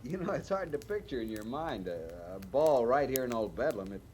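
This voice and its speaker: An actor playing an awkward, polite young cavalry lieutenant in a radio western, speaking hesitantly and earnestly. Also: male voice, medium pitch, big pitch swings, normal speed, natural voice. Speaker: junior cavalry officer. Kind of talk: old-time radio western acting. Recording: noisy old radio recording.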